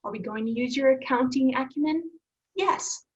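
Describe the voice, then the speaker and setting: A woman's voice: high-pitched, moderate pace, close to an everyday voice. An actress playing a bossy, sardonic young woman leading her friends, in a dry screenplay table-read delivery. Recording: slightly dull remote call audio.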